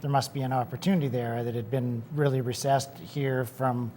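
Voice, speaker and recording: A man with a Canadian accent from North Vancouver, a business owner answering questions in a TV studio interview in a plain, steady way. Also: medium pitch, normal speed, a little hiss or hum.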